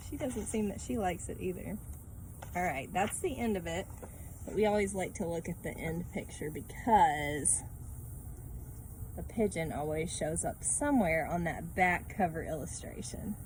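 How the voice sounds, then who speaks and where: A woman's voice: medium pitch, background noise. A woman talking casually to children as a Bible class teacher, conversational and often checking in with short tag questions. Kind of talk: casual read-aloud and lesson talk for children.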